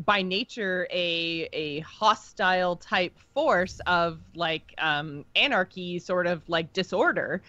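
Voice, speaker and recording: A woman's voice: on the low side, fairly bright tone, no room sound, highs cut off. A woman co-hosting a podcast, chatting in a casual, informal and teasing way about something she follows as a fan. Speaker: podcast co-host. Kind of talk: casual, unscripted fan discussion.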